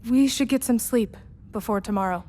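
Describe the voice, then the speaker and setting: A woman acting in a radio play as a steady, sad friend, restrained and stoic. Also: fairly high pitch, moderate pace, natural voice. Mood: sad, resigned. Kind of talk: subdued radio drama dialogue.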